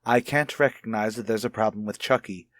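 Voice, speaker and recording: A man's voice: fairly low pitch, brisk, fairly bright tone, dry recording, natural voice. Man playing a stern, condescending radio-soap father. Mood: stubborn. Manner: condescending, sarcastic, formal. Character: stern, rigid, stubborn husband and father. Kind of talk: radio soap opera acting.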